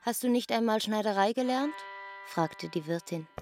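A woman's voice: medium pitch, normal speed, a little mellow. A woman reading scripted literary narration for a radio drama, like spoken stage directions. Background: music.

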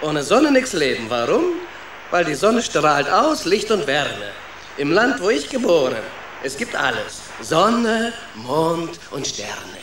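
A gruff immigrant rat with a Spanish accent and broken grammar, from a children's radio play. He is a proud, secretive storyteller who speaks slowly, with big swings in pitch. The sound is echoey and a bit noisy. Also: sing-song. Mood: wistful. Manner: broken German grammar, dramatic telling. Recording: echoey room with noticeable background noise.

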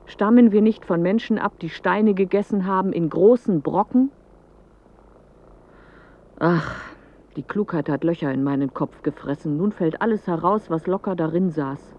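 An actress playing a clever, dreamy woman who recites a poetic radio play monologue in measured, declamatory phrases. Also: lowish voice, muffled recording, lightly acted. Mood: wry, declamatory. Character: clever woman musing in riddles, dreamy storyteller of images.

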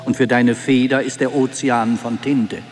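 A man's voice: mid-range voice, level tone, unhurried, close to an everyday voice. An actor playing a reverent man in a poetic radio drama, speaking formally and in a declamatory way. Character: reverent man addressing his master poetically. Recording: long reverb under loud background music.

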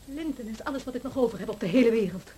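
A woman playing a devoted, spirited young daughter in a radio play, speaking with emotional, deliberate intensity. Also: on the high side, dark tone, breathy edge. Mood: defiant. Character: desperate, devoted, defiant young daughter and bride.

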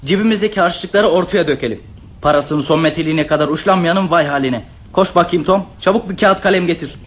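A man's voice: fairly high pitch, fast, close to an everyday voice. An actor in a radio play voicing a bossy, know-it-all teenage eldest brother who orders his siblings around. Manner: bossy and commanding.

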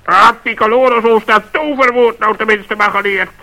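An actor playing a self-important wise old bird in a radio play, speaking in a fussy, pompous way. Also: male voice, sits high, steady tone, normal speed, bright, forceful tone, exaggerated voice, rough recording.

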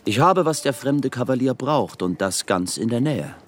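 An actor playing a smooth, obliging Venetian gentleman in a German radio drama, speaking with formal, persuasive politeness. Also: mid-range voice, animated, normal speed, natural voice. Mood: courteous, persuasive.

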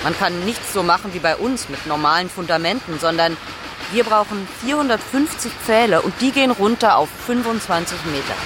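A woman's voice: medium pitch, expressive, brisk. A woman explaining technical work in a matter-of-fact, colloquial way. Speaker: building project professional. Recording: noisy outdoor recording with traffic.

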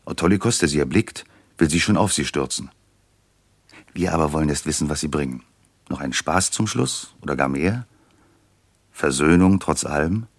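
An actor as a wry, reflective storyteller narrating a scripted radio play to the listeners. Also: male voice, extremely low pitch, expressive, moderate pace, pauses often, dry recording, full character voice.

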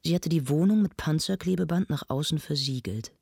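Earnest, slightly husky documentary narrator. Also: female voice, deep voice, darkish tone, dry recording, studio quality, exaggerated voice. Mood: grave, detailed. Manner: measured, formal, literary. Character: earnest arts-feature presenter of a mock documentary. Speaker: feature narrator and presenter.

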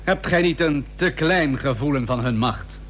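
A man playing a haughty, argumentative admiralty gentleman in a historical radio drama, formal and pressing. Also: on the high side, animated, moderate pace. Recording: noisy old radio recording with hum.

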